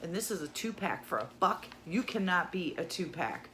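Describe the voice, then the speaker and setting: A woman's voice: lowish voice, big pitch swings, moderate pace, bright, forceful tone. A woman talking through a shopping haul in a casual, gushing way, losing her voice to a cold. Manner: chatty, gushing over cheap finds. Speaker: haul vlogger. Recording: audible noise in the background.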